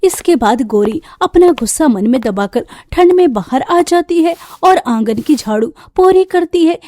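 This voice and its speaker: A woman's voice: high voice, expressive, a little slow, a little mellow, no echo, clean studio sound. A woman reading scripted narration for a cartoon story as the storyteller.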